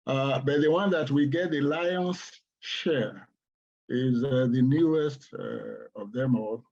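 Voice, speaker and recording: Slow, husky Nigerian man on a call. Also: mid-range voice, darkish tone. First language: Yoruba. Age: older. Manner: slow, measured, explanatory. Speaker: guest poet. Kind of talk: introducing a poetry reading. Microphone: video call. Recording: dull lo-fi video call audio.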